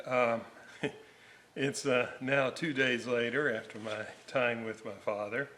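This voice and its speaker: An older man giving a casual hands-on workshop explanation, thinking aloud in a halting way as he hosts a restoration video. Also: medium pitch, a bit bright. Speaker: vintage radio restorer and video host. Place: indoor home workshop.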